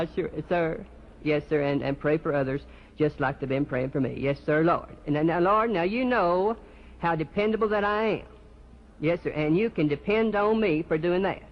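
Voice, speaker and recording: Man playing a sheepish churchgoer, high voice, folksy, stammering, repetitive, comic phone monologue, dull lo-fi sound, loud background noise. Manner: stammering, repetitive, eagerly agreeable. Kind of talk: one-sided comic phone-call monologue.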